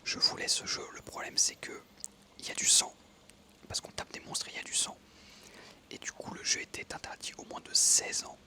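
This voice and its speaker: A young man from France in his twenties, telling relaxed stories in a soft, unvoiced ASMR whisper while he plays a game, with many pauses and fillers. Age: 20s. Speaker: ASMR creator. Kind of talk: whispered ASMR storytelling over gameplay.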